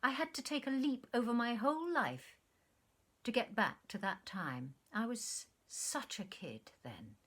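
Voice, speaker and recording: A woman's voice: fairly high pitch, very animated, measured pace, fairly bright tone, breathy edge, voice pushed up, heavily acted. Literary story role: woman plays wistful, self-absorbed male former lover, effusive and earnest in delivery. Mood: nostalgic.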